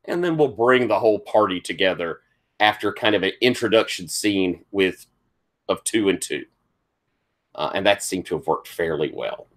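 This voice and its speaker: Low-voiced Southern game master, a tired man. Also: lively intonation, normal speed, a bit bright. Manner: polite, accommodating. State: tired from lack of sleep. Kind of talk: explaining tabletop game setup to players.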